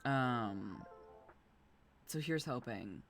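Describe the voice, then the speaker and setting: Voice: mid-range voice, animated, extremely slow, fairly bright tone. A streamer reading a bedtime story aloud, with casual, sweary, chatty asides to viewers. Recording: music playing behind the voice. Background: background music.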